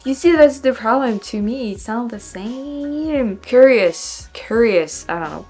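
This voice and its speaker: A woman's voice: on the high side, lively intonation, darkish tone. Young french woman vlogging, french accent, repeats english words over and over trying to get them right, laughs a lot, bit of music behind. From France. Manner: thinks aloud, repeats words slowly to try pronunciations, laughs often. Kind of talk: casual reaction vlog.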